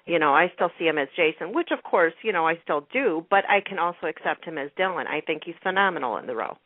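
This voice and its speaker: A woman's voice: fairly low pitch, lively intonation, fast talker, no echo. A woman on a radio panel, chatting casually as a fan. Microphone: phone call. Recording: dry, narrow telephone line.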